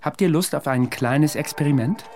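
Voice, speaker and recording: A man's voice: a little high, moderate pace, darkish tone, soft background music, close to an everyday voice. A man acting in a radio play as a confident, eccentric amateur psychoanalyst, eloquent, probing and provocative.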